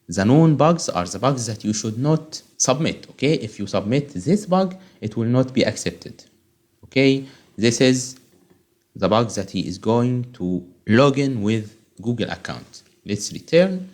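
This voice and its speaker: A man whose first language is Arabic talks a viewer through a software testing quiz and project. He goes step by step, stops to check with "okay?", and keeps an everyday middle pitch. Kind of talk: screen-recorded tutorial walkthrough.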